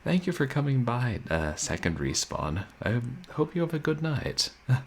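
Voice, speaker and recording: A man streaming a video game, chatting casually and soft-spoken with viewers, often chuckling. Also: medium pitch, very animated, moderate pace. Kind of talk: casual let's-play chat. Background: game music.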